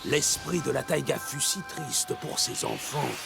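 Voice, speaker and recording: Give the part to an old cartoon elder with a heavily creaky voice. He tells tales to children kindly and slowly, with expressive swings and music behind him. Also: mid-range voice. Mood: storytelling. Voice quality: gravelly, creaky. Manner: slow, expressive storytelling. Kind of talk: French children's cartoon dub. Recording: music under the voice.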